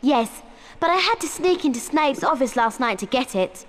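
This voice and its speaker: A woman voicing a clever, bossy British schoolgirl witch in a video game, brisk and earnest. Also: sits high, animated.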